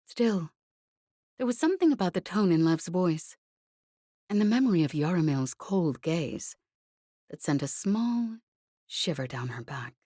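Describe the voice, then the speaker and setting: Woman reading an audiobook, low soft-edged mellow voice, super dry recording. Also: animated, unhurried, long pauses, no room sound. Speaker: audiobook narrator. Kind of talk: scripted audiobook narration of a novel.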